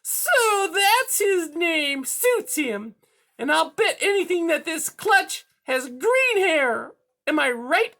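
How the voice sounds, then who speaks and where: A bullying storybook she-cat, shrill and far above an everyday voice. She snarls and sneers her menacing demands with big swoops in pitch. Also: big pitch swings, takes it slowly, sharp tone, heavily acted. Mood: snarling, suspicious. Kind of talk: children's storybook character voice.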